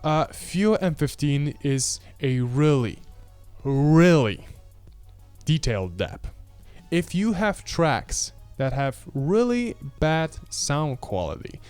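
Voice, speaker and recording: Young guy doing a chill tech review, kinda high smooth voice, joking around, handheld mic at home. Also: takes it slowly, no room sound, faint music behind. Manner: chatty, animated, self-mocking, gestures while talking. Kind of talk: casual product review to camera. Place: small home room with audio gear, indoors.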